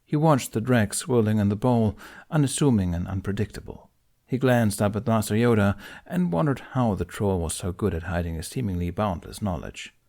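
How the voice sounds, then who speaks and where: A man narrating fan fiction in a gentle, even storytelling manner. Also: fairly low pitch, normal speed.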